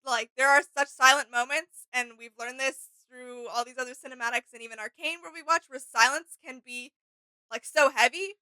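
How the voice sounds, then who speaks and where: Woman co-host just chatting, smooth clear voice, very dry sound, trails off mid thought. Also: high voice, steady tone, normal speed, bright, forceful tone, dry recording, poor audio. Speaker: reaction video co-host. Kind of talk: casual chat, sharing impressions.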